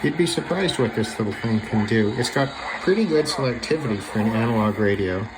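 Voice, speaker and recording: A man's voice: on the low side, lively intonation, brisk. A man giving a casual, unscripted hobby demonstration, thinking aloud in a conversational way. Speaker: radio hobbyist and video maker. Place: indoors at a desk with a radio. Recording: noisy room with radio sound.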